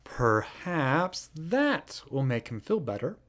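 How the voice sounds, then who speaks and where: A man's voice: on the high side, big pitch swings, slow, fairly bright tone, full character voice. A man voicing good-natured, emotional brothers from a children's storybook in a theatrical way. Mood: earnest resolve. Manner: theatrical, swooping.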